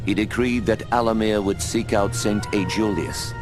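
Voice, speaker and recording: Scheming owl elder, voiced by a man, low voice, measured narration, music bed. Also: steady tone, close to an everyday voice. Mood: grave. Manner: measured, grave storytelling. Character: older male owl mentor, scheming and confident. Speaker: narrator and mentor figure. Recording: game audio with music behind.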